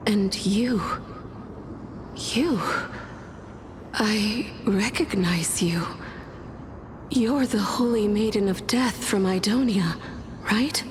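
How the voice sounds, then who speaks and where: A woman playing a courteous, world-weary dead scholar in a fantasy game, with a breathy, resigned and philosophical delivery. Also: medium pitch, background noise, close to an everyday voice. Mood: courteous, hesitant. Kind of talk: dubbed fantasy game dialogue. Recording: orchestral game music behind.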